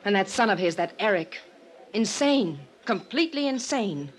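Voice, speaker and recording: A woman's voice: medium pitch, lively intonation, normal speed, a little hiss or hum, lightly acted. An actress playing an intense married woman fiercely defending a man, with emphatic, dramatic phrasing in old-time radio style. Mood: bitter, vehement. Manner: emphatic, dramatic, repeating words for force.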